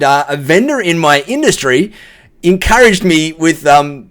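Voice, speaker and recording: Aussie guy doing a casual testimonial, bit of a higher voice, chatty and unscripted. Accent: Australian. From Australia. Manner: candid and chatty, many fillers and restarts. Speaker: client giving a testimonial.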